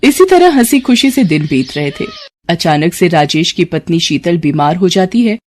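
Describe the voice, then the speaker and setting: A woman's voice: on the low side, very animated, normal speed, a little mellow. A woman narrating a scripted story as a storyteller.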